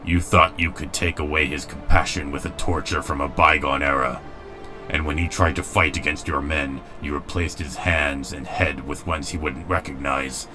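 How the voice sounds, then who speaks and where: A very low-voiced, weary robot leader played by a man speaks with solemn, principled gravity. His lines are formal and dramatic, in the manner of an animated comic dub, with music clearly playing underneath. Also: normal speed. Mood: indignant.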